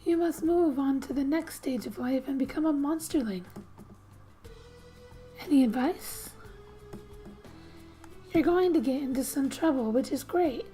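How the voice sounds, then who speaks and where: A soft-spoken woman reading game text aloud in a gentle, hushed ASMR style with musing asides, often slipping into a whisper. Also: sits high, a little slow, long pauses, faint music behind, rough recording. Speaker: gaming ASMR host.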